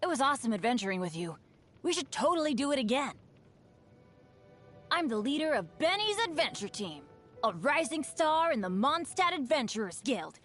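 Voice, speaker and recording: An eager teenage boy adventurer from a video game. The voice is boyish and fairly high, with lively swings in pitch. He sounds earnest and chatty, upbeat even about his own bad luck. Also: normal speed, soft background music. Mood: eager, friendly. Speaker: game character voice actor. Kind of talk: scripted game character voice lines.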